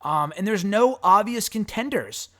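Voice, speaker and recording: Hoarse, high-voiced man answering fan questions. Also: lively intonation, unhurried, a bit bright. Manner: rambling, frank, swears casually. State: hoarse, raspy voice. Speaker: wrestling podcast host answering fan questions. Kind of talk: casual solo commentary.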